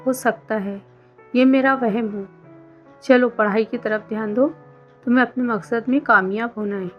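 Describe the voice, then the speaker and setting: A woman acts out a concerned middle-aged mother in a storybook tale. She advises gently in a slightly high voice. The sound is a little dull, with music playing behind her. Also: steady tone, normal speed, lightly acted. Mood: reassuring. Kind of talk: scripted story dialogue.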